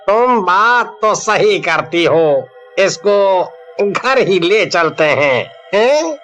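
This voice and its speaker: A man acts out a middle-aged cartoon husband, idle and easily swayed. His bright, somewhat high voice crawls along in very slow, drawn-out phrases, with faint music underneath. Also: very animated, heavily acted. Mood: pleased, agreeing. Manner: slow, drawn-out, drawling delivery. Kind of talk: dramatised Hindi story cartoon acting.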